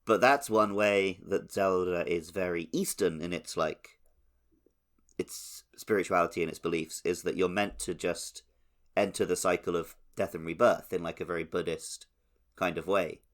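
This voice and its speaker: A man's voice: deep voice, steady tone, moderate pace, a bit bright. A man co-hosting a podcast, talking casually about video games. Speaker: podcast co-host.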